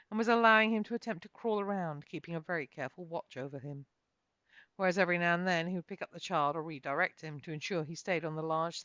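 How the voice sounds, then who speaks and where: A woman's voice: fairly low pitch, fairly bright tone, no room sound, dull audio. A woman reading her own novel as a dramatic first-person narrator, with theatrical, uneven emphasis.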